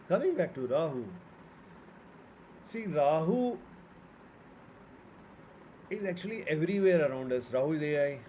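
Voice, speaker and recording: A man's voice: a little high, dark tone, a little hiss or hum. A man with an Indian accent giving an informal, opinionated astrology lecture, making emphatic points and posing rhetorical questions. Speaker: astrologer and author.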